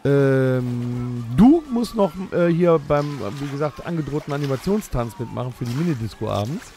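Gravelly know-it-all man. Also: medium pitch, lively intonation, normal speed, warm tone, soft background music. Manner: know-it-all lecturing, bossy. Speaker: podcast host. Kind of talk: improvised comedic audio play.

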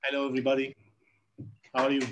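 A man from Egypt with an Egyptian Arabic accent, Arabic his first language, speaking halting English on a video call with constant uh fillers. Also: mid-range voice, monotone, slight background noise. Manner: slow and halting, heavy uh fillers. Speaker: calligrapher, panel guest. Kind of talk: casual online panel talk.

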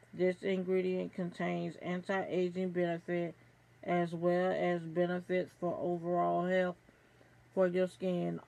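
A middle-aged woman reviewing beauty products, reading aloud haltingly from a card and stumbling over names. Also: on the low side, vocal fry, a little mellow, low-quality recording. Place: small room at home.

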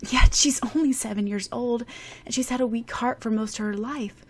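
A woman's voice: fairly high pitch, normal speed, slightly rough, pitched above the performer's own voice. A woman voicing a weary hospital doctor who talks in a firm, condescending way. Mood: worried.

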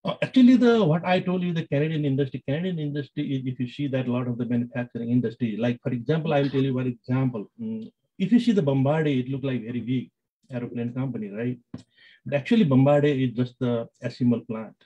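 A man with a Nepali accent answering interview questions in halting English, full of fillers and restarts. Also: medium pitch, dark tone, husky. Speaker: business executive guest. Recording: dull lo-fi sound.

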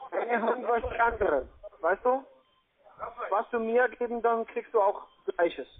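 A man's voice: extremely high pitch, measured pace. A man with a foreign accent, speaking as a non-native in broken phrasing, mocking and provoking in a phone argument. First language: not German. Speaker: call-centre scam caller. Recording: narrow, tinny phone-line sound.

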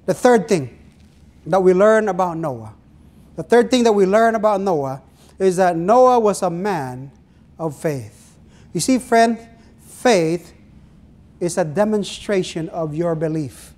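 Filipino-accented pastor preaching. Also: male voice, sits high, animated, darkish tone. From Philippines. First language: Ilonggo. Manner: earnest preaching, drawn-out phrases, asks the congregation questions. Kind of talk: sermon. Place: small church stage with pulpit.

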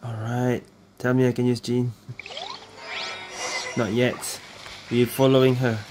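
A man's voice: mid-range voice, little pitch movement, slow, background noise. A man giving casual gameplay commentary, muttering slangy, offhand reactions to himself. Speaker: video game streamer. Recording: game music behind the voice.

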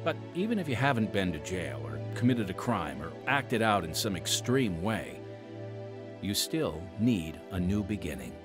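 A man's voice: low-pitched, big pitch swings, normal speed, over music. A man hosting a ministry podcast, reading announcements with some ad-libbed asides. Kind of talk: scripted radio ministry announcements, partly ad-libbed.

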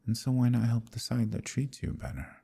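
A man voicing a proud, scheming demon king in an audio roleplay, talking in a slow, silky, menacing way. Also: little pitch movement, mellow. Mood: persuasive. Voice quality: deep gravelly voice. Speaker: voice actor. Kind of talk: ASMR roleplay.

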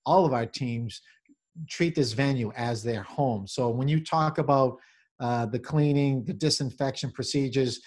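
A middle-aged man answering interview questions on a video call as a venue manager, in halting corporate phrasing with repeated words. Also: mid-range voice, a little mellow. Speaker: convention center general manager, interview guest. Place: small office, indoors. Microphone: computer or webcam on video call.

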